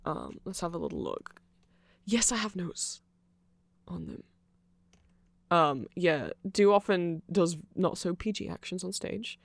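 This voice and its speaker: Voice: mid-range voice, very animated, a little slow, creaky, no room sound. Dry, rambling close-up ASMR explainer. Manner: rambling, informal, full of asides. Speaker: ASMR audio creator.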